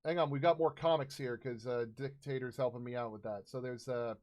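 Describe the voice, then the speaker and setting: A man in his thirties casually recounting tabletop game stories as a host, halting and searching for words. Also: medium pitch, steady tone, darkish tone. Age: 30s.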